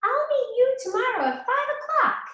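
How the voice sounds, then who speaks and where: Woman putting on a little pig: clever, polite, small; very high squeak, slow and careful; storybook reading with a roomy echo. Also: very animated, a bit bright, muffled recording, full character voice. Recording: echoey room.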